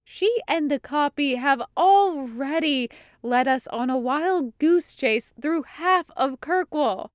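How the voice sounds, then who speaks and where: A woman's voice: sits high, animated, slow, no echo, clean studio sound, pitched above the performer's own voice. A woman voicing a cheeky, coaxing male companion in an audiobook story. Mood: wheedling. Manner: coaxing, sly. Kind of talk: audiobook character acting.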